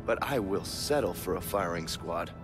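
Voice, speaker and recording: A man's voice: deep voice, lively intonation, moderate pace, over loud music. A man playing a sardonic, foul-mouthed American intelligence agent in a video game cutscene. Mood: mocking, confident. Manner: sardonic, taunting, profane.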